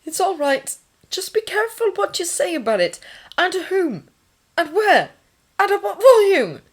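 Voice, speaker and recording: Female narrator as young male orderly: guarded, clipped, easily flustered, raised pitch, lively swings. Audiobook dialogue. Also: normal speed. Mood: stiff, anxious. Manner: stiff, clipped, defensive.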